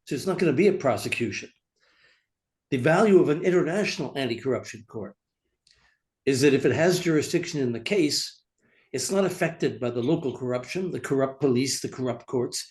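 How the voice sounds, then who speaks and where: A man's voice: medium pitch, fairly quick, darkish tone. A man speaking as a panelist, emphatic and plain-spoken, making his point in a discussion. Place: law school event room.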